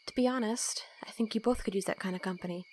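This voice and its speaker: A woman's voice: medium pitch, fast, darkish tone, a little hiss or hum, close to an everyday voice. A woman playing a guarded, studious young roommate in an audio roleplay, with a dry, blunt, teasing way of talking. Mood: serious, guarded. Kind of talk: audio roleplay acting, casual dialogue.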